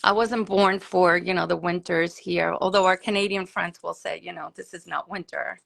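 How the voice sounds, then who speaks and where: A woman's voice: lowish voice, fairly even intonation, normal speed, vocal fry, a bit bright. A woman from Puerto Rico, a guest crafter chatting casually and a bit nervously on a live video call. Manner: chatty, self-deprecating, nervous.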